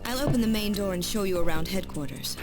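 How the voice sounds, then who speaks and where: An actress playing a polite, formal young office assistant in a retro video game. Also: female voice, mid-range voice, fast talker, over music, natural voice. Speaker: administrative assistant. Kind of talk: 1980s game voice acting.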